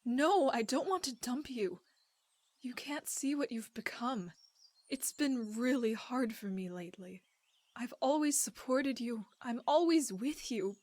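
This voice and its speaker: A woman's voice: fairly high pitch, expressive, a little slow, a little mellow, no room sound. A woman playing a weary, hurt wife in a game drama, pleading and reproachful. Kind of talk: dramatic game cutscene acting.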